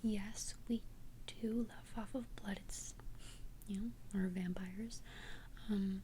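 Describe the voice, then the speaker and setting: A woman whispering in a breathy, gentle, hesitant way, confiding as a friendly vampire best friend in an ASMR roleplay. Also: mid-range voice, steady tone, extremely slow, long pauses, slight background noise, rough recording. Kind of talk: whispered ASMR roleplay, one-sided conversation. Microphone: close ASMR microphone.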